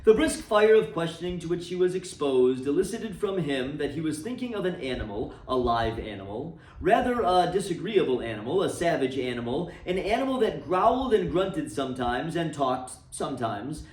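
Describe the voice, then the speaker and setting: A man's voice: a little high, normal speed, few pauses. Man reading a classic tale expressively. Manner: expressive, swooping phrasing. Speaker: reader for a library. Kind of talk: dramatic storybook reading. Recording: roomy, distant mic.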